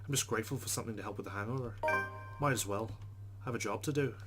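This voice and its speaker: A man's voice: on the low side, racing, over loud music. A man hosting a let's play, reading visual novel text aloud and adding informal, chatty commentary.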